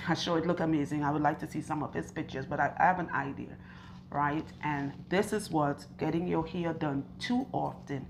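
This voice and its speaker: A woman loctician with a husky, hoarse voice, talking slowly and earnestly to camera while interviewing a client in her salon. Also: low voice, background noise. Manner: earnest, chatty, asks questions and recounts stories. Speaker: loctician and channel host. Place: hair salon.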